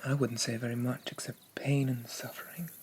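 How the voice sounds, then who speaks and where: A man playing a possessive, haughty demon prince of dreams in an ASMR roleplay, speaking softly and breathily with a coaxing, condescending, regal manner and lingering pauses. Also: mid-range voice, flat delivery, natural voice. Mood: coaxing, seductive. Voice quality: soft, breathy, often whispered. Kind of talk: ASMR roleplay, soft half-whispered dramatic monologue. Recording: noticeable rain-like background noise.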